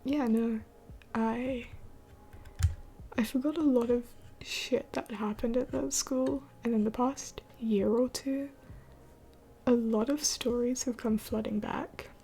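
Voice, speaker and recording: Whispery young woman with vocal fry. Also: on the high side, fairly even intonation, slow, warm tone, a little hiss or hum. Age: 20s. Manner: rambling, many fillers, often drops to a whisper. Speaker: livestream host. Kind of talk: late-night live Q&A chat.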